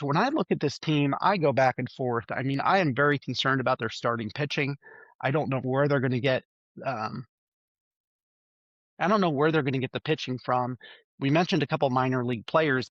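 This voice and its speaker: A man's voice: medium pitch, fairly bright tone, no echo, lo-fi sound. A man with a cold and a stuffy nose, hosting a solo sports preview podcast and reeling off stats with frequent ums and uhs. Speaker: podcast host.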